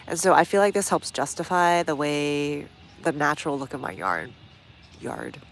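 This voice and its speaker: A low-voiced woman with a stuffy nose, chatting casually to viewers while she works in her outdoor garden. She reads comments aloud and talks through each step as she goes. Faint outdoor ambience sits under her phone-camera audio. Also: lively intonation, moderate pace, vocal fry, a little hiss or hum. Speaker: gardening livestream host.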